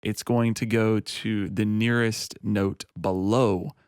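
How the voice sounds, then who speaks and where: A man with a deep, low voice explains software features in a relaxed, conversational way, dropping in casual fillers. The sound is dry and close, with no room around it. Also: slow pace, smooth, dry recording. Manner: relaxed, explanatory, uses fillers like "you know". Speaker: audio software demonstrator.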